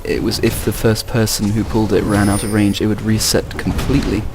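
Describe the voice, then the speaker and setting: A young Englishman with a fairly low voice walks through a video game match, calmly explaining tactics and dropping dry remarks. Faint noise hums behind him. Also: brisk. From England. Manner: relaxed, explanatory, dry asides. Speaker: co-commentator. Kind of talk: casual video game commentary.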